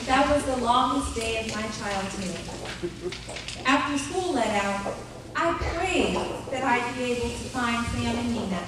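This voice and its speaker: A woman's voice: on the high side, animated, a little slow. An actress playing a wry grown woman who narrates memories of her childhood in a live radio play. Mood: reflective. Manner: wry, dramatic storytelling. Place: live on a theater stage. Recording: distant, very echoey hall with noise.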